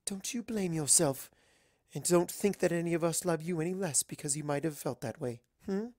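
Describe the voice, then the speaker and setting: A refined, kindly mare voiced by a man in a story. She speaks tenderly and reassuringly, a little high in pitch. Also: moderate pace, darkish tone, no room sound, studio quality, close to an everyday voice.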